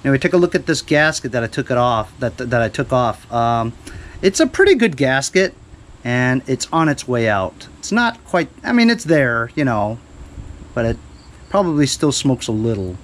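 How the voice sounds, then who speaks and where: A man's voice: mid-range voice, sing-song, moderate pace, a bit bright. A man chatting casually in a laid-back, rambling, slangy way as he shows his repair work in a garage. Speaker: DIY small-engine repair vlogger. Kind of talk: casual chat while showing repair work. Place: cluttered home garage with open door.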